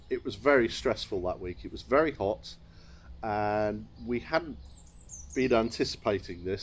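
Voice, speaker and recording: A man's voice: medium pitch, slight background noise. A middle-aged English man, a chateau owner, telling a wry, understated story to camera while seated in a sunny garden courtyard. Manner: wry, understated, chuckling at his own mishaps.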